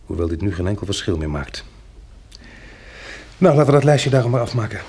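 An actor playing a calm, practical survivor in a radio drama, reasoning in a measured way and soothing like a tender protector. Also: male voice, fairly low pitch, breathy edge, exaggerated voice. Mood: grave, reasoning.

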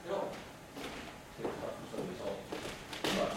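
An actor playing a suspicious, excitable man who nags with insistent, repeated questions in comic stage dialogue. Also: on the low side, normal speed. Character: suspicious, nagging, excitable male stage companion named Carmine. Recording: roomy, distant, noisy stage recording.

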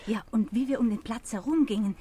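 Woman playing a fast-talking, chatty wife. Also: on the high side, dark tone, natural voice. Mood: eager. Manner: chatty, butting in. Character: eager, chatty wife, talkative witness. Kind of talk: radio crime drama acting. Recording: faint background noise.

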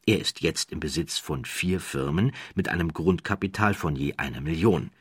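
Male narrator, standard German accent, very low pitch, dry close studio sound, measured storytelling, wry emphasis. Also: slightly rough, dry recording. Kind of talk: scripted documentary storytelling narration.

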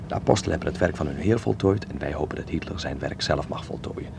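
A man's voice: rumbling low, fast talker, creaky, background noise. An actor playing a thoughtful young Dutch man living in 1930s Berlin, in a conversational radio drama. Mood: appalled.

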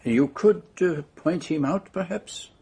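An actor playing a courteous, methodical Belgian detective with a French-speaking accent, questioning precisely in a radio drama. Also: male voice, fairly high pitch, slow pace, dark tone, slightly rough, heavily acted. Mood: courteous inquiry. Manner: polite, precise, probing questioning.

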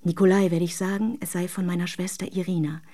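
A woman's voice: fairly low pitch, level tone, quick pace, mellow, natural voice. A woman acting an intimate radio drama monologue as a dreamy, self-deluding wife who longs for her lover, confiding in careful words. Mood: dreamy, eager.

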